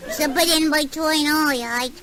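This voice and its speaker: High, cheeky little girl. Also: steady tone, unhurried. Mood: sulky, cheeky. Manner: pert, slangy, childlike backchat. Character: cheeky literal-minded little girl, stubborn and mischievous. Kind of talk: old-time radio comedy sketch. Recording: noisy old radio recording.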